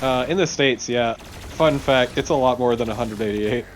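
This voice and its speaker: A man's voice: medium pitch, normal speed, slight background noise. A man co-commentating on a video game in casual, informal chat with a sweary streak.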